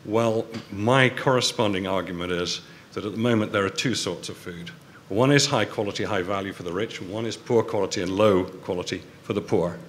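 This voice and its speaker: A man arguing forcefully on a debate panel, driving points home by repeating them. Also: fairly low pitch, brisk, a little hiss or hum. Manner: forceful, emphatic repetition. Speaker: panelist, restaurateur.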